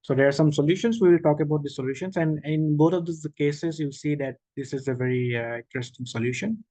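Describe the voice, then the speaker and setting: A man giving an online machine learning workshop, explaining slowly with frequent okays, restarts and questions to the audience. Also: fairly high pitch, warm tone, no echo. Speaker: workshop presenter. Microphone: video call.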